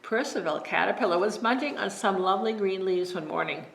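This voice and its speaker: A woman librarian reading bedtime stories to children in a gentle, friendly storytelling manner. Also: medium pitch, expressive, a little fast, vocal fry. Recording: echoey room.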